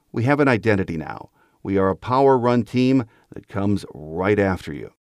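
A man with a fairly low voice hosts a sports podcast. He delivers scripted commentary, with some music playing behind him. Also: darkish tone, dry recording. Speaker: podcast host.

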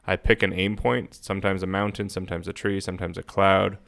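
A man with a very low, clean and resonant voice in a casual podcast conversation. He explains things informally, working through his thoughts as he talks. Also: little pitch movement, fairly quick, gravelly, fairly bright tone. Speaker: podcast co-host.

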